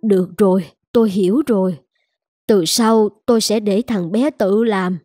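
A woman acts out an elderly, industrious cartoon housekeeper. She is humble and coaxing, with a soft-edged mellow tone over light music. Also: medium pitch, fairly even intonation, measured pace, dry recording, clean studio sound, close to an everyday voice. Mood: resigned. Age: older. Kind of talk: scripted cartoon dialogue.

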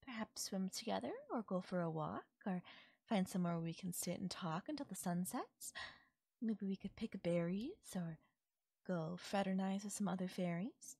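Yandere fairy for ASMR-style roleplay, played by a woman: female, mid-pitched, creaky, doting, possessive, teasingly affectionate. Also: moderate pace, no echo. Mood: affectionate, musing. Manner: coaxing, affectionate, softly teasing. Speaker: voice actor. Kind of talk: intimate audio roleplay, ASMR-style acting.

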